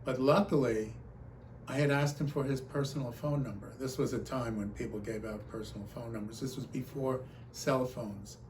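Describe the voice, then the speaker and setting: A man giving a talk as a personal storyteller, recounting remembered exchanges in an animated manner. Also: mid-range voice, moderate pace, slight background noise, rough recording. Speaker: conference speaker.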